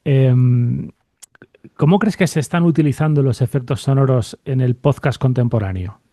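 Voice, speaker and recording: A man speaks in a mellow, mid-pitched voice. He interviews casually, hesitating as he searches for words. The sound is very dry. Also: moderate pace, no echo. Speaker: podcast host, interviewer.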